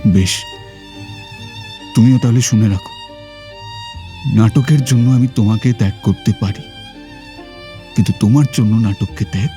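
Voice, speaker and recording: Man acting resolute, dark-voiced theatre-loving husband. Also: on the low side, moderate pace, long pauses, faint music behind, lightly acted. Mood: calm, resolute. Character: devoted stage actor, long-suffering, resolute husband. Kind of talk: Bengali audio drama acting.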